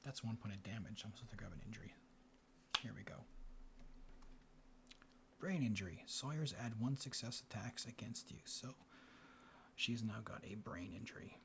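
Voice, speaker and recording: A man hosting a board game in ASMR style, mixing soft speech and whispers as he murmurs an unhurried play-by-play. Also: lowish voice. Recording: clear background noise. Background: room ambience, board game handling sounds.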